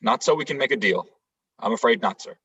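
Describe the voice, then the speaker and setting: Distant, husky man as a stoic Marine corporal. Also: mid-range voice, animated, brisk, a bit bright. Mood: respectful, resolute. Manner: clipped, formal military deference. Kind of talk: stage play read-through. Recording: distant, slightly dull microphone.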